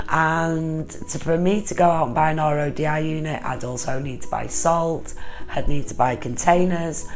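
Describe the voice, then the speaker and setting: A woman with a hoarse, cold-roughened voice, chatting through a step-by-step home water-testing demonstration. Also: low voice. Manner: chatty, explains step by step while counting drops. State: hoarse, losing her voice with a cold. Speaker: aquarium hobbyist vlogger. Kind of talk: casual tutorial demonstration.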